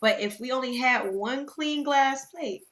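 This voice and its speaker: A woman, co-hosting a podcast in lively casual chat. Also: high-pitched. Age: 20s. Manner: animated storytelling, quick asides, laughs often. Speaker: podcast co-host.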